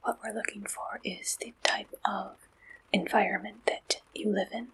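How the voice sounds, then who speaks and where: A woman performing an ASMR roleplay, gently and deliberately asking questions like an interviewer. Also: mid-range voice, fairly even intonation, husky, poor audio.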